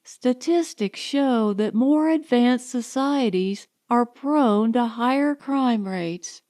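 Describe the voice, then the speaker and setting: Woman playing a high-pitched, gushing, haughty young socialite. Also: slow pace, a little mellow, no echo, clean studio sound. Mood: bored, provocative. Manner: gushing, affected, condescending. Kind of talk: audiobook character dialogue.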